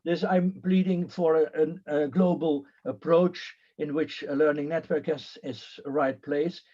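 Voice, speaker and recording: A man's voice: fairly high pitch, fairly even intonation, measured pace, mellow. Hesitant man on a muffled call. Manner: hesitant, many fillers and restarts. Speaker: panelist. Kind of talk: conference panel remarks.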